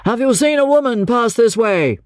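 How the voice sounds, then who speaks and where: A woman's voice: high voice, big pitch swings, unhurried, no echo, voice pushed up. A woman voicing an urgent, commanding male asylum keeper in an audiobook, barking and imperious.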